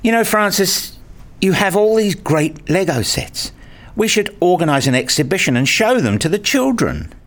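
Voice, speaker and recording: Actor as animated, curious man narrating a story. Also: high-pitched, normal speed. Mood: excited, amazed. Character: enthusiastic, curious grown man narrating his visit. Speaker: narrator and lead character of a radio play. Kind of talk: scripted first-person narration and acted dialogue.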